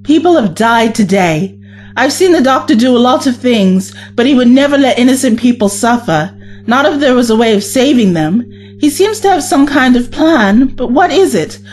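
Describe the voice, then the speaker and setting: A high-voiced heroine, acted by a woman in a science-fiction audio drama. She is earnest, principled and emotional. Her urgent delivery moves around a lot, with faint music underneath. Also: animated, normal speed, a little mellow, lightly acted. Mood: earnest, determined. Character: earnest, principled former female companion of time traveler.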